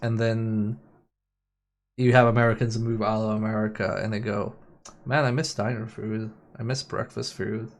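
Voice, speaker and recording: A man's voice: fairly low pitch, little pitch movement, moderate pace. A man streaming a game with casual, opinionated and rambling chat. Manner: opinionated, rambling, mimics others mockingly, swears. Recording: game music behind the voice. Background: music from a racing game.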